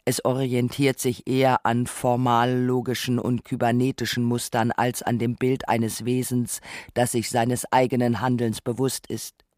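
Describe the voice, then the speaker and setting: A woman's voice: rumbling low, darkish tone, no echo, clean studio sound. A woman reading scripted narration for a radio documentary.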